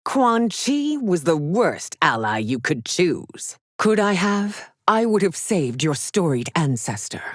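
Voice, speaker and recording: An actress playing a haughty, regal, middle-aged warrior empress in a video game, speaking formally and imperiously with theatrical diction. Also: female voice, lowish voice, sing-song, slow, hardly any pauses, no room sound, clean studio sound, full character voice. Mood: cold, authoritative. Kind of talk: video game character voice lines.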